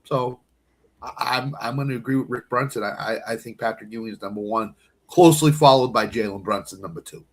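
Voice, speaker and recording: A man's voice: medium pitch, a little fast. A man in his fifties debating sports casually as a panelist on a talk show. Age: 50s.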